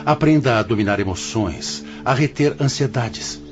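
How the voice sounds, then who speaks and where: A man's voice: mid-range voice, animated, moderate pace, background noise, natural voice. An actor playing a serene, humble older male spirit who directs an afterlife hospital in a religious radio drama, speaking solemn, formal, patient Brazilian Portuguese. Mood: gently firm.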